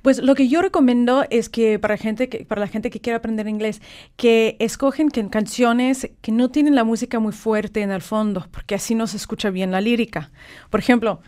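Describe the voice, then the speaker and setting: A woman from California, a non-native speaker with English as her first language, explaining in a measured way as a guest on a morning radio interview, with frequent filler words. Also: fairly high pitch, steady tone, a little mellow. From California, United States. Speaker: guest, polyglot author.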